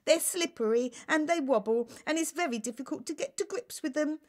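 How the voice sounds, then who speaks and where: A woman's voice: sits high, a little fast, pitched above the performer's own voice, close to an everyday voice. A woman voicing an earnest, put-upon giant in a children's storybook, complaining as it explains itself.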